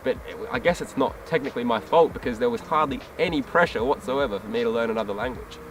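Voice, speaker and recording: Fast-talking Australian man, off the mic. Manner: blokey, sweary, self-deprecating. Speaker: podcast host. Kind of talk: casual chat. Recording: distant mic, music and vehicle rumble behind.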